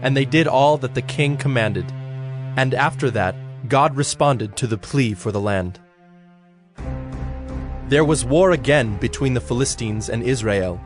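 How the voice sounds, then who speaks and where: Solemn male scripture narrator over faint music. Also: mid-range voice.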